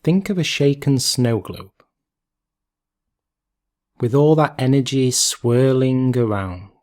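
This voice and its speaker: A man leading a guided meditation in a calm, gentle, measured way, leaving long pauses between phrases. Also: mid-range voice.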